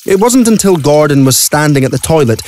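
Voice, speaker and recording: A man's voice: fairly high pitch, sing-song, brisk, darkish tone. A man reading scripted story narration for an audio play. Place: recording studio.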